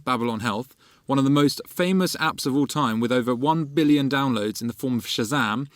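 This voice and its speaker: A man's voice: medium pitch, no echo, clean studio sound. Male co-host reading announcements. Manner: reads announcements evenly. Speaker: podcast co-host. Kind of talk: scripted announcement. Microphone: studio mic.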